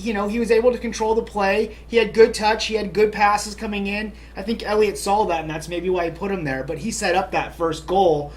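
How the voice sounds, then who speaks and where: High-voiced upbeat man co-hosting a soccer show. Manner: upbeat, chatty, many "you know" fillers. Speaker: podcast co-host. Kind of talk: casual sports chat.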